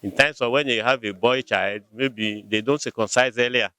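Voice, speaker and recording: A man's voice: mid-range voice, normal speed, pushed and bright, clean tone, no room sound. A Nigerian man answering interview questions about his community, hesitant, often repeating words while explaining patiently. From Nigeria, Rivers State. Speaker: local community member interviewed. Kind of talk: conversational answers.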